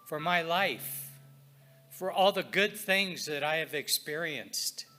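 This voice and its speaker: Slow, bright-voiced man leading devotions. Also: on the high side. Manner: deliberate, reverent, short phrases with pauses. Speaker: spiritual practitioner leading readings and prayer at a service. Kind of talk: inspirational readings and spoken prayer.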